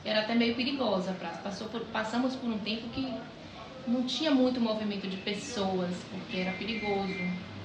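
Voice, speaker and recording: A woman's voice: mid-range voice, fairly quick, background noise. A woman talks in an easy, reminiscing way, full of restarts. She sounds distant from the mic. The street recording carries a roomy echo. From Salvador, Brazil. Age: 40s. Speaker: longtime neighborhood resident. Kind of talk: documentary interview.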